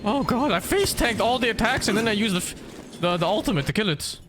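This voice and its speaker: High-voiced male game streamer. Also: expressive, fast talker, background noise. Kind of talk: casual live gameplay commentary. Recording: game music behind the voice.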